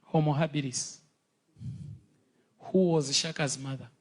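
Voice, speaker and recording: A man's voice: fairly high pitch, fairly even intonation, a little slow. A man in a played clip, talking in a lecturing way and mocking with rhetorical questions. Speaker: speaker in a played video clip.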